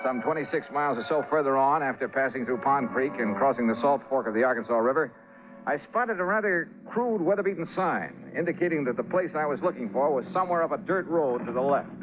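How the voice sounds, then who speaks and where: An actor playing a wisecracking, worldly male insurance investigator in a 1950s radio drama, narrating in a wry, hard-boiled way with dramatic pauses. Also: mid-range voice, narrow, tinny audio, close to an everyday voice. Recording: old band-limited radio recording with music.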